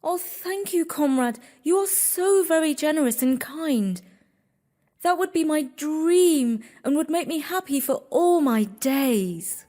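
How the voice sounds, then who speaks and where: A woman playing a kind-hearted, humble young woman in a storybook, with a polite, gentle, earnest manner. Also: high-pitched, lively intonation, takes it slowly. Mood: grateful.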